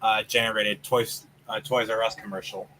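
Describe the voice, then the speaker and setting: A man's voice: fairly low pitch, flat delivery, moderate pace, pushed and bright, slight background noise. A man bantering casually in a voice chat with friends.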